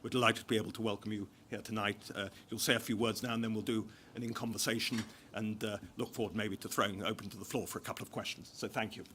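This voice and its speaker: A man hosting a formal reception, courteous and halting with uhs as he introduces speakers. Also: fairly low pitch, steady tone, very noisy. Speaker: event host.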